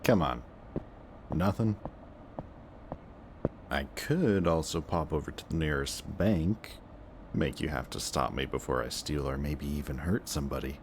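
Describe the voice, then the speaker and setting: A man's voice: rumbling low, unhurried, pauses often, slight background noise. A young man playing a cocky, sarcastic antihero villain with a secretly kind streak, talking in casual, teasing banter in a roleplay audio drama. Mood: casual, coaxing. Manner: casual, sardonic, teasing banter with muttered asides.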